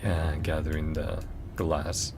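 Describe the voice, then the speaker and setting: A man's voice: extremely low pitch, flat delivery, slow, background noise. A man giving casual, easygoing gameplay commentary, rambling as he thinks aloud. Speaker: gaming video host.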